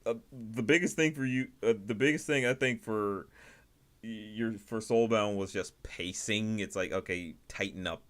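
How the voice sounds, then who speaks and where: A man hosting a livestream, giving casual, rambling commentary in a halting way, often repeating and rephrasing himself. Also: lowish voice. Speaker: livestream host reviewing indie animation.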